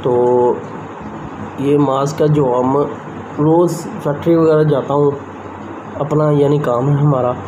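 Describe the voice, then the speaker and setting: A young man, vlogging in a casual, rambling way to a phone held at arm's length, first on a rooftop and then in a small room. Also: on the high side. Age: young adult. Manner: rambling, conversational, talks straight to the camera. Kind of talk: casual vlog chat. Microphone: handheld phone camera at arm's length. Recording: noisy phone recording with outdoor ambience.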